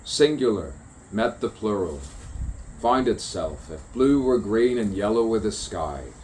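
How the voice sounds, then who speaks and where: A man's voice: on the low side, animated, a little slow, a little mellow, a little hiss or hum, poor audio. A man delivering a stream-of-consciousness spoken poem, musing and repeating rhyming phrases. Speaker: poet.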